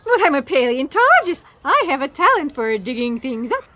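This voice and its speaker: A woman's voice: high-pitched, sing-song, brisk, sharp tone, exaggerated voice. An actress playing an impatient, sarcastic woman scientist with a whiny, complaining manner in an audio drama. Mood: exasperated. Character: impatient, sarcastic young woman paleontologist, easily exasperated. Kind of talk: scripted audio drama acting.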